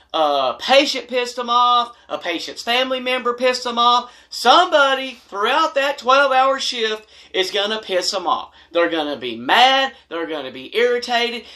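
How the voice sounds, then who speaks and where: An American man with a very bright, forceful voice hosts a humorous storytime vlog. He talks straight to his audience in a blunt, folksy manner and leans hard on his points. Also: very high voice. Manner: folksy, blunt, emphatic, animated. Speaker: video host telling stories and advice. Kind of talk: humorous storytime monologue to camera.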